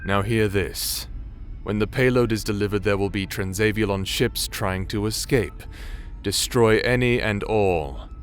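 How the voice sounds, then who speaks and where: Guy acting as a sad ex space pirate brother, deep slow voice, sci-fi audio drama. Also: faint music behind, natural voice. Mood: cold, commanding. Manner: halting, sighing, hesitant delivery. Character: remorseful ex space pirate captain, awkward grieving brother.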